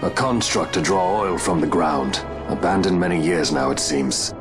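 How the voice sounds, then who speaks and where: A man playing a grave, deep-voiced veteran knight. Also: over music, lightly acted. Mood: solemn. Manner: measured, formal and grave. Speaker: knight escorting a princess. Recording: game cutscene with loud score.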